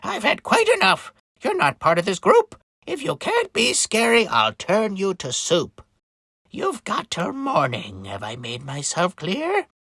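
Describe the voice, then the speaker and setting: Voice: medium pitch, wildly swooping pitch, measured pace, fairly bright tone, breathy edge, dry recording, clean studio sound, pitched above the performer's own voice, heavily acted. A performer voicing a wicked old witch from a children's storybook, irritable and bossy, snapping out scornful commands. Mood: angry, impatient.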